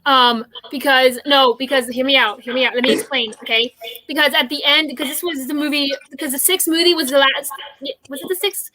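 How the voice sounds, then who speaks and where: A woman's voice: high-pitched, fairly even intonation, fairly quick, bright, forceful tone, no room sound, rough recording. A woman co-hosting a casual chat show on a video call, rambling with many fillers and restarts. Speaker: co-host.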